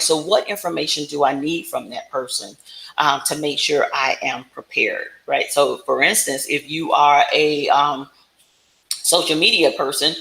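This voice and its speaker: A woman's voice: low voice, a bit bright. A woman giving casual instructional talk as a business coach, conversational and often checking in with the listener. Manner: conversational, frequent check-in tags like "right?". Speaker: business coach presenting a livestream. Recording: roomy, echoing room sound.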